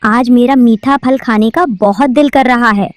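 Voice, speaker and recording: Lady voicing a bossy greedy crow teacher, scolding cartoon voice, a bit high, dry. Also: measured pace, no room sound, lightly acted. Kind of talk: cartoon voice acting.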